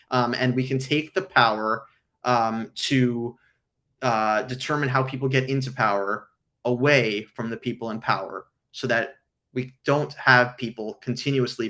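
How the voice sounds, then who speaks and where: Male livestream host, hoarse, earnest, lots of filler words, mid pitch. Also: fairly bright tone. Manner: frequent ums, earnest explanatory. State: hoarse from illness. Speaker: livestream host answering viewer questions. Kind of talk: casual livestream talk.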